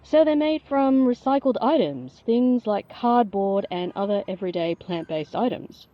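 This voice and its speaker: An actress playing an eager, polite field reporter with emphatic broadcast delivery in an audio drama. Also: female voice, mid-range voice, animated, moderate pace, muffled recording, close to an everyday voice. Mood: curious, polite.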